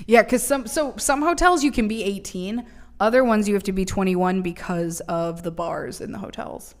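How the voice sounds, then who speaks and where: A woman's voice: medium pitch, moderate pace. A woman in her late twenties co-hosting a podcast, chatting casually with a teasing, big-sisterly manner and free swearing. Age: 20s. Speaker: podcast co-host. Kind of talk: casual chat.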